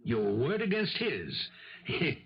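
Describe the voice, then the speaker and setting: A man playing an exasperated, no-nonsense police lieutenant in a radio mystery drama, scolding and world-weary, with a gravelly, husky voice. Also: medium pitch, huge pitch swings, slow pace, heavily acted. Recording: muffled 1970s radio drama recording.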